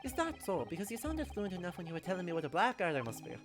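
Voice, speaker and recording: A woman's voice: on the low side, very animated, rapid-fire, over music. A woman voicing a blustering rich male rogue in an attempted Irish accent, theatrical and folksy, for a game. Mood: sly, offended.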